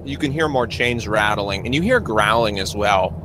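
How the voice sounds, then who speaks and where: A man narrating a tabletop roleplaying game as game master, improvising in a halting, conversational way. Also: on the low side, animated. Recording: live stream with clear background noise.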